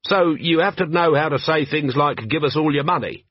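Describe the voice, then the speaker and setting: A man's voice: a little high, normal speed, husky, no echo, lo-fi sound, pitched above the performer's own voice. A man voicing a weary, sarcastic middle-aged police commander with a dry, sardonic delivery.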